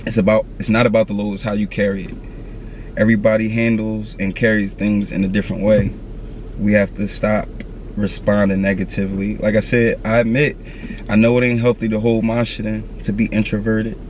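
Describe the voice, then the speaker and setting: A man's voice: fairly low pitch, level tone, brisk, darkish tone, slight background noise. A man in his thirties talking candidly to camera outdoors, earnest and slangy, repeating his advice. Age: 30s. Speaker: vlogger. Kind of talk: candid motivational talk to camera.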